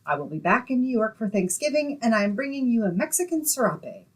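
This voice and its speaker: A woman's voice: on the high side, a little fast, breathy edge. Radio comedy straight woman played by a woman: young, sensible, wry, widely swinging voice. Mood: patient, amused. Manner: wry, expressive. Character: sensible, wry young woman, exasperated yet affectionate roommate. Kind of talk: old-time radio comedy acting and narration.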